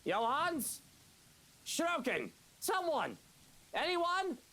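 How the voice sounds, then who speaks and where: An actor playing a haughty, irritable rich master of a mansion in a dramatic game, sneering and theatrical. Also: male voice, very high voice, wildly swooping pitch, extremely slow, sharp tone, heavily acted. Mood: exasperated, shouting. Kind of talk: dramatic visual novel voice acting. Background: game music.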